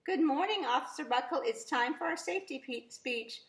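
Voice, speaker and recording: A woman's voice: sits high, animated, normal speed, voice pushed up. A woman voicing a polite, coaxing female school principal in a storybook read-aloud. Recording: roomy, echoing sound.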